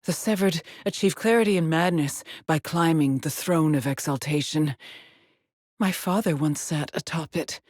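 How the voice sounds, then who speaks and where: An actress playing a haunted, weary woman astronaut stranded alone on an alien world in a video game, with a breathy voice and a grave, brooding delivery. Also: low-pitched, moderate pace, a little mellow, no echo, studio quality. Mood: grave, contemplative. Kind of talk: dramatic game voice lines, monologue logs.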